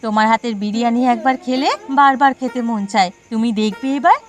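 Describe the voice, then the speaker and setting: A woman's voice: on the high side, fast talker, faint music behind, lightly acted. A woman voicing an eager, helpful village neighbour in a cartoon, gushing and chatty. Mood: eager, admiring. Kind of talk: cartoon voice acting.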